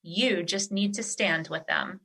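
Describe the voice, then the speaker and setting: A woman playing a wise, nurturing earth spirit in an audio drama, speaking in a formal, measured, reassuring way. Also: medium pitch, gravelly, fairly bright tone, breathy edge, heavily acted. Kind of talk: theatrical audio drama acting.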